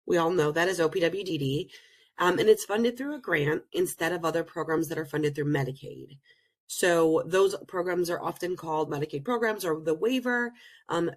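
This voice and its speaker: Hoarse woman giving a webinar talk. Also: lowish voice. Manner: earnest, explanatory, many pauses. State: hoarse, recovering from illness. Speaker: presenter and program director. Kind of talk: webinar presentation. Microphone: video call.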